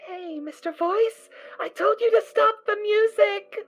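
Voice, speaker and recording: A woman voicing a cheerful, naive little girl pony foal who talks in a bubbly, excitable way. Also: extremely high pitch, measured pace, soft background music. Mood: cautious. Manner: bubbly, sing-song, excitable.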